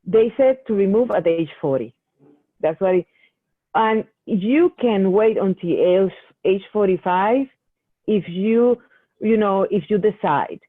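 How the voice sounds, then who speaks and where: A woman's voice: mid-range voice, no echo. A woman medical geneticist answering patient questions in a Q&A session, explaining at length with many restarts. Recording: tinny phone-like call audio.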